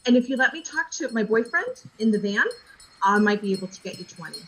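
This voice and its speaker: A woman's voice: on the high side, fast talker, a little hiss or hum, rough recording. An actress playing an eager, imploring woman who minds a group of children in an audio drama. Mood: pleading. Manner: imploring, animated.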